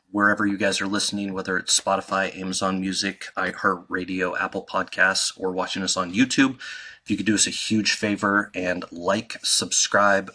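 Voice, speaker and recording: An American man hosting a podcast, chatty and relaxed. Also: deep voice. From United States. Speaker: podcast host. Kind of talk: casual podcast chat.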